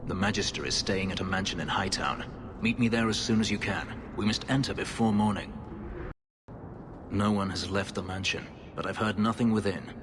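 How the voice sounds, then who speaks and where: A man's voice: low voice, normal speed, noisy background, close to an everyday voice. An actor playing a brooding male elf warrior and escaped slave, speaking in a grave, formal, terse way. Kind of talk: video game dialogue. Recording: game music underneath. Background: game score.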